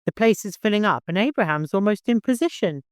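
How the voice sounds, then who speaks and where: A man voicing a brisk, reassuring woman of a desert camp in an audiobook. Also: high-pitched, lively intonation, smooth, no room sound, studio quality, voice pushed up.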